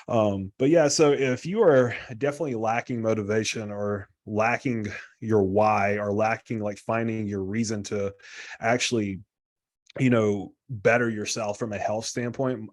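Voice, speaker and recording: A man, a guest coach talking casually and rambling with lots of fillers over a video call. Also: fairly low pitch. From South Carolina, US. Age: 30s. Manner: rambling, filler-heavy, chatty and candid. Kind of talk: casual talk on a live online group lesson.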